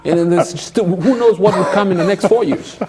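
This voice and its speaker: A man's voice: high voice, a little fast, background noise. A man on a television panel, speaking earnestly and urging his audience to stay informed. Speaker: panel guest. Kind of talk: panel discussion.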